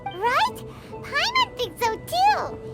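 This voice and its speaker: A woman voicing a small, excitable, food-loving childlike fairy companion in a video game, with a squeaky delivery. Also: extremely slow, gravelly, over loud music, full character voice. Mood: contented. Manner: squeaky, refers to self in third person. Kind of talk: video game character acting.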